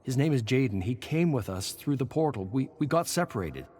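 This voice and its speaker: A man's voice: mid-range voice, expressive, fairly quick, a little mellow, slightly rough, voice pushed up. A man voicing an urgent, stammering male survivor pleading with his group in a horror story.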